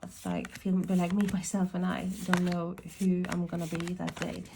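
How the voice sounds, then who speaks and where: A woman from Ireland with a Swedish-tinged accent, chatting casually in a slow, thoughtful, meandering way as she reviews tarot and oracle decks at home. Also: lowish voice, little pitch movement, creaky, darkish tone, slight background noise. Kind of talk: casual deck review chat.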